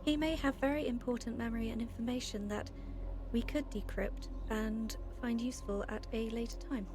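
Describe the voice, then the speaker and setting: A woman's voice: fairly high pitch, fairly even intonation, moderate pace, over music, lightly acted. A woman playing a composed, capable female artificial intelligence in an audio drama, speaking in formal, clipped statements. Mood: calm, assured. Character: female AI overseer candidate, calm, capable, insistent, overly nice.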